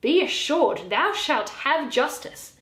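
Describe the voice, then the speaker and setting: A woman playing a stern, commanding young lawyer, speaking formal Shakespearean verse with deliberate emphasis. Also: high-pitched, lively intonation, a bit bright, full character voice. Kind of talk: Shakespearean stage verse reading. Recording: roomy, echoing room sound.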